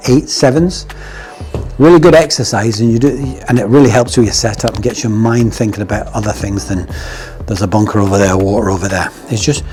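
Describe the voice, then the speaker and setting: Chatty Scottish golf coach, blunt and jokey. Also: male voice, lowish voice, a little fast, a little mellow, faint music behind. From Scotland. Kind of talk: casual instructional talk.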